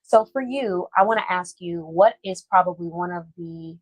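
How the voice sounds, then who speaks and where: A woman's voice: lowish voice, normal speed, no echo, dull audio. A woman hosting a podcast interview, encouraging her guest and summing up what she says. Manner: encouraging, summarizing the guest's points. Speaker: podcast host. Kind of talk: casual interview.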